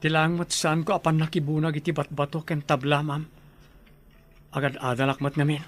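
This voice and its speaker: A man's voice: fairly high pitch, flat delivery, fairly quick, soft background music, natural voice. A man with a Filipino accent playing an anxious young student in a radio drama. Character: anxious young male student fearing for his mother. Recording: noisy background hiss.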